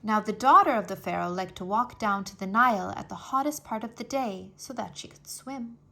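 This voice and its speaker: A woman reading a children's history story aloud in an expressive, deliberate, scripted storytelling manner. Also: medium pitch, vocal fry. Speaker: storybook reader.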